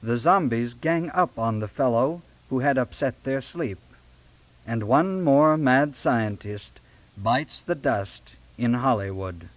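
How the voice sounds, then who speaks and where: A man narrating a children's read-along book in a measured, deliberate storytelling style with dramatic emphasis, on a late-1970s audio cassette. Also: mid-range voice, no echo.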